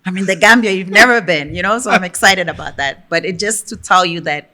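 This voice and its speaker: A woman from Ghana, a podcast guest talking in a lively, emphatic way and laughing often. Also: on the low side, brisk. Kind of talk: conversational interview.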